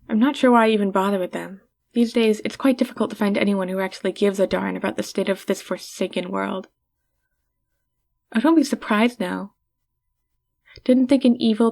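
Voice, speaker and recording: A woman acts out a haughty villainess with a mid-pitched voice. She speaks intimately to the listener, teasing and talking down, with a secretly caring streak. It is an ASMR roleplay performance. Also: darkish tone, lightly acted.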